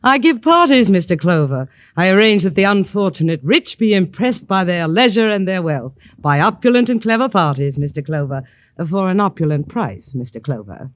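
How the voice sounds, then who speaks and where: An actress playing a haughty, morbidly amused society hostess, arch and coy, in vintage radio crime drama style. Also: female voice, on the low side, big pitch swings, moderate pace, no echo. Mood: coolly arch. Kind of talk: 1950s radio drama acting.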